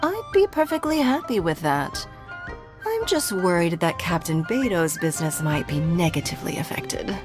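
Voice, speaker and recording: A woman's voice: lowish voice, sing-song, normal speed. An actress voicing a composed young woman who teases with precise, wordy replies. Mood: teasing. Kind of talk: video game character dialogue. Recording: game audio over loud music.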